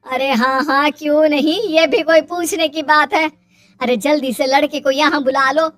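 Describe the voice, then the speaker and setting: A woman's voice: high voice, steady tone, moderate pace, fairly bright tone, faint music behind. A woman playing a nagging, scolding middle-aged mother in a cartoon family drama. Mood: pushy. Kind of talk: Hindi cartoon dialogue.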